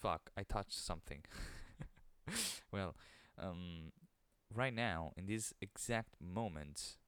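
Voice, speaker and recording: A man with an Italian accent hosting a podcast alone, keeping his voice low and soothing, with an occasional stutter into the microphone. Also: slow pace, fairly bright tone, smooth, no echo. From Italy. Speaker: podcast host. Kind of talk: casual solo monologue.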